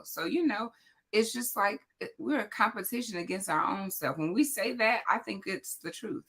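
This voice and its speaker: Raspy, hoarse woman host. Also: sits low. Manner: chatty, loud, talks straight to the audience. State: hoarse, voice cracking. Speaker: host of a morning motivational livestream. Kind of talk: casual motivational chat to viewers.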